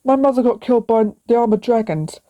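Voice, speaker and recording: A woman's voice: a little high, fairly quick, mellow, highs cut off, close to an everyday voice. A woman voicing a young dragon prince in a read-aloud storybook, brave but easily hurt and unsure of himself. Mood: gentle, amazed.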